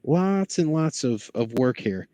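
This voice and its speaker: A man's voice: mid-range voice, very animated, slow, dark tone, dry recording. A man chatting casually and enthusiastically about cartoons, with a geeky manner, as a podcast guest.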